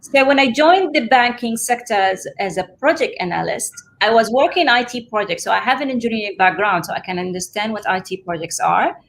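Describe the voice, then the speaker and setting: A woman's voice: a little high, a little fast, hardly any pauses, a bit bright. Emirati woman, native Arabic speaker, earnest. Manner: earnest, frequent restarts and fillers. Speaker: guest. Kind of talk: casual interview conversation.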